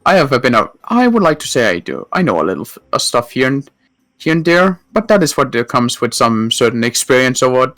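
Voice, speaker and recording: A man voicing an experienced male water mage who speaks in a formal, measured way. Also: mid-range voice, sing-song, fairly bright tone, no room sound, faint music behind. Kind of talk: roleplay chat.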